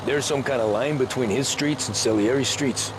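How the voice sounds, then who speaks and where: An actor playing a cautious young male ex-cab driver, speaking in plain, measured tones. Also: mid-range voice, lightly acted. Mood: calm. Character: level-headed young male ex-cabbie, cautious. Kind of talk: video game cutscene acting. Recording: car and street noise.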